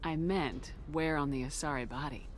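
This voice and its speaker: A woman's voice: low-pitched, moderate pace, a little hiss or hum, lightly acted. An actress playing a tough, reckless female soldier hero in a video game, with a dry, sardonic manner. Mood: wry.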